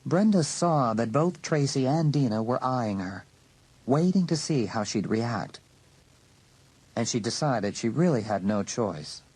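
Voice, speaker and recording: Audiobook narrator telling a dramatic story, raspy mid-pitched voice, scripted reading. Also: expressive, a little slow, a little mellow, rough recording.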